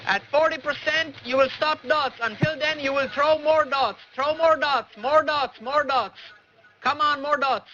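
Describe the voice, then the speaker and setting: A man's voice: very high-pitched, a little slow, bright, forceful tone, muffled recording, slight background noise. A man leading an online game raid, angrily barking repetitive, profane orders over old voice chat. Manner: angry, profane, commanding, repetitive.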